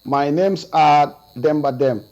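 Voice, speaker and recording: A man in his forties with a Gambian accent gives testimony over a video call, answering in a formal, deliberate way. His voice sits a little high for a man. The sound is dull and muffled, with a low mains hum behind it. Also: a little mellow. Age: 40s. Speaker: witness.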